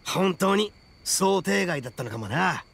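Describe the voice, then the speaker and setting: A man playing a brash, playful young oni boss in a game, speaking in rough, cocky slang. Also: high-pitched, animated, a little slow, a bit bright, slight background noise, full character voice. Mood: frank. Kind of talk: video game cutscene acting.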